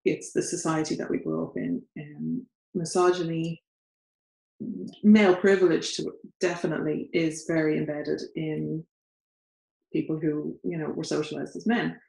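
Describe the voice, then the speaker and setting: A woman's voice: deep voice, level tone, moderate pace, gravelly, darkish tone. A woman in her thirties with an Irish accent, talking earnestly in a casual chat at home. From Ireland. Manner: earnest, thoughtful, laughs between points. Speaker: co-host. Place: home sitting room. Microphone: far camera. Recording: roomy echo in living room.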